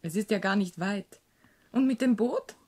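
Husky, carefree young society girl played by a woman. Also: medium pitch, moderate pace, a little mellow, lightly acted. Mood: chatty. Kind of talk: German radio play dialogue.

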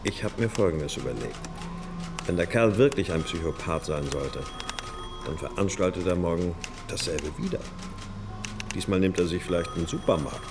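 An actor playing a brooding, intuitive police detective in a radio crime drama, thinking aloud with insistent questions. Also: male voice, low voice, moderate pace, over music. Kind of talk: naturalistic German radio crime drama acting.

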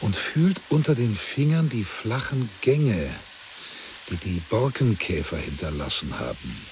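A man narrating a literary radio drama in a measured, deliberate way. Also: lowish voice, expressive, noisy background.

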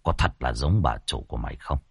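A man voicing an old, suspicious landlord who questions his servant in a low, hushed voice. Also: lively intonation, fast, vocal fry, slightly rough, dry recording, studio quality, exaggerated voice. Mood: uneasy.

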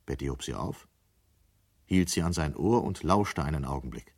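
An actor as a sober storyteller, giving scripted narration in a German radio play. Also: male voice, very deep voice, animated, normal speed, full character voice. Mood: measured. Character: sober male storyteller of a dystopian tale.

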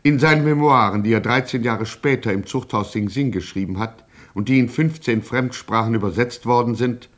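An actor playing a dramatic, mock-solemn narrator of a German radio crime serial. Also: male voice, medium pitch, normal speed, natural voice. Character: grandly suspenseful male radio serial narrator.

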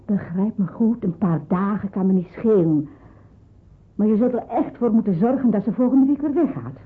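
An actress playing a brisk, reluctant wartime housewife in a radio drama, firm and matter-of-fact. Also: female voice, mid-range voice, close to an everyday voice. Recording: muffled, phone-like old radio drama recording.

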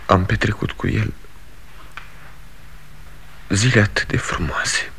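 An actor playing a disillusioned grown son in a 1960s radio drama, speaking with blunt resolve. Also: male voice, lowish voice, steady tone, bright, forceful tone, slight background noise. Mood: bitter.

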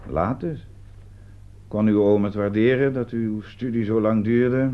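Stern male interrogator played by an actor, deep voice, curt and sarcastic, radio drama, muffled recording. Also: steady tone, unhurried, natural voice. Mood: curt, pressing. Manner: curt, probing, commanding. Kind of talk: Dutch radio drama dialogue. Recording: dull, band-limited old radio recording.

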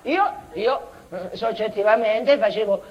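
An actress playing an unhinged, childlike former schoolteacher in a rambling tragicomic stage monologue, halting and repeating herself, swinging between outbursts and muttering. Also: female voice, mid-range voice, natural voice. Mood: excited rambling. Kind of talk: theatrical comic-tragic monologue, broken invented dialect. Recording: dull, noisy theatre recording.

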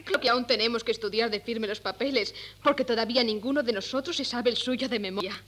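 A woman's voice: fairly high pitch, quick pace, a bit bright. An actress playing a polite, demure young woman in a 1970s radio play, courteous and composed.

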